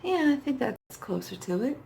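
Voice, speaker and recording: Hoarse, creaky middle-aged woman. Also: high-pitched, big pitch swings, normal speed, mellow, slight background noise. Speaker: makeup vlogger. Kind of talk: casual makeup tutorial chat, talking to herself while working. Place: small indoor setup with dark backdrop.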